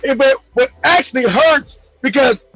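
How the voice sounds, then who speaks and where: A man hosting a casual talk show, speaking in a profane, excitable way and laughing often. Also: very high-pitched, moderate pace, no echo, dull audio, faint music behind. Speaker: host.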